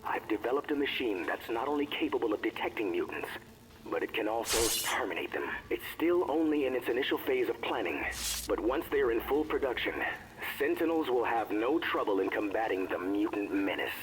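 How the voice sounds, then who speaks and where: A man's voice: mid-range voice, animated, fairly quick, slight background noise, lightly acted, low-quality recording. A man acting as a proud, zealous inventor in a video game audio log, boastful and fervent. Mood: triumphant zeal. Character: zealous, proud male inventor of killing machines.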